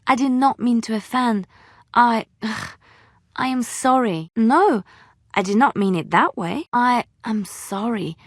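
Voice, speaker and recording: A woman playing a devout, gentle, romantic young companion in a fantasy video game, speaking in formal, earnest phrases. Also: medium pitch, no room sound, studio quality. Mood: apologetic.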